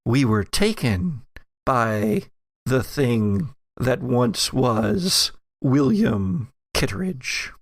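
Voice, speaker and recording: A man hosting a horror role-playing game as game master, narrating in a theatrical, deliberate storytelling way. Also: medium pitch, big pitch swings, no echo, studio quality. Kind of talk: dramatic narration of horror actual-play game.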